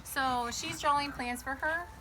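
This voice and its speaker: Female kids' read-aloud host, higher register, animated and question-filled delivery, recorded outside with noticeable ambient noise. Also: measured pace. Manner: animated, addresses young listeners with questions. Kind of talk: storybook read-aloud with chatty asides to children. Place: garden, sitting outdoors by a small table fort.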